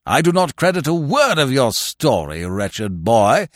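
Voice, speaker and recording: A man voicing a stern, pompous elderly vicar who thunders his rebukes slowly. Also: medium pitch, big pitch swings, dry recording, clean studio sound, pitched below the performer's own voice. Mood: condemning. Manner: slow, thundering condemnation. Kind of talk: audiobook character voice.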